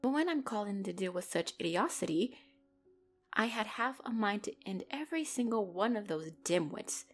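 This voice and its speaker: A woman's voice: medium pitch, animated, normal speed, soft background music, natural voice. A woman playing a commanding, possessive female mafia boss in a dramatic audio roleplay, condescending and controlled, lacing mock sweetness with threats.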